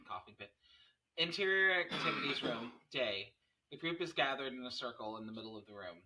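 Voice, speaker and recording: Male narrator for a screenplay read-through. Stage directions and headings, mid-pitched, very bright and forceful delivery. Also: animated, fairly quick, poor audio.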